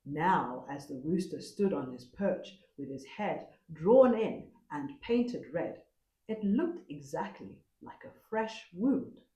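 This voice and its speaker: A woman storyteller narrating a folktale expressively, with a dramatic, deliberate manner. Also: deep voice, expressive, warm tone, echoey room, low-quality recording.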